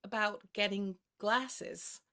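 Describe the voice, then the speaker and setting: An actress playing a playful, tender young woman in a play reading, gently teasing as she courts her friend. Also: mid-range voice, fairly even intonation, slow. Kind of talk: two-hander stage play reading.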